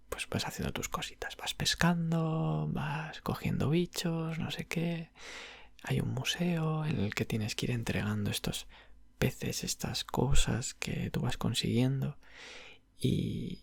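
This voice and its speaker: A man playing a friendly video game shop clerk with a Spain accent, murmuring and half whispering in ASMR style. The voice is very breathy and heavily creaky, close and dry on the mic. He explains things patiently, gently and without hurry. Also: medium pitch, no echo. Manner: unhurried, hesitant, explaining gently in diminutives. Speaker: ASMR roleplayer. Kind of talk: ASMR roleplay, soft whispered and low murmured talk. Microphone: close microphone.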